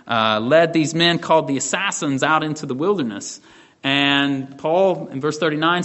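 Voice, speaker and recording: A man's voice: a little high, fairly bright tone. A man teaching a Bible study lesson in a conversational, explaining way, often checking in with "right?".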